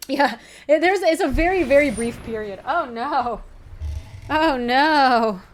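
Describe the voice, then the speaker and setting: A woman's voice: sits high, lively intonation, slight background noise. A young woman from Ecuador, a native Spanish speaker, chatting casually as she streams a card game and answers her chat. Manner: chatty, reads chat aloud, quick reactions and laughs. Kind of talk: casual live-stream gameplay commentary.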